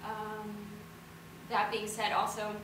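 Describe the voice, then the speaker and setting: A woman's voice: mid-range voice, monotone, takes it slowly. A young adult woman, an actor giving casual, reflective answers on a discussion panel. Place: indoor room, public post-show discussion. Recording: noisy, echoey room, far from mic.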